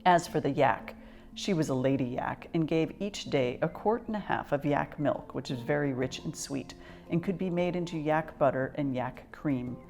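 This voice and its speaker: Low-voiced woman reading a children's story. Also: moderate pace, a little mellow, soft background music. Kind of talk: children's picture book read-aloud.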